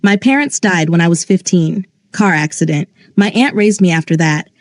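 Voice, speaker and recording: A woman's voice: fairly low pitch, expressive, normal speed, no room sound, close to an everyday voice. A woman playing a frightened young woman. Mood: shaken. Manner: small, uncertain voice.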